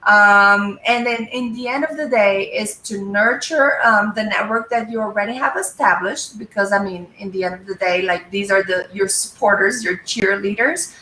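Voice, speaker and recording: A woman speaks thoughtfully, pausing often with fillers. Her tone is bright and sharp. She sits a little back from the mic in a roomy, echoing space. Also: medium pitch, slight background noise. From Brazil. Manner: thoughtful, hesitant, many fillers. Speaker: guest. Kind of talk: casual conversation on a live show. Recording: echoey room, mic a bit far.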